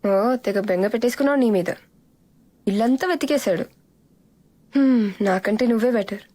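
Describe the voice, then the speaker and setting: An actress playing a feisty, vain, indignant young woman in a comedy film, sharp-tongued and dramatic, dropping in English phrases. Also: fairly high pitch, brisk, slight background noise, close to an everyday voice. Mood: smug, threatening. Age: young adult. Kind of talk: Telugu film comedy acting.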